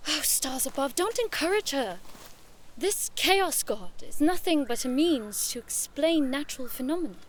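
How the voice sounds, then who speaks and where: A woman's voice: high-pitched, expressive, normal speed. A woman playing a haughty, playful young noblewoman in an audio drama, speaking in an eloquent, theatrical, teasing way. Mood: indignant.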